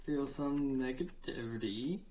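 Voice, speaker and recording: Slow talker doing casual roleplay on a stream, kinda halting, typing and a bit of noise. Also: mid-range voice, mellow. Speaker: role-play participant on a game livestream. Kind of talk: in-character improvised role-play, casual.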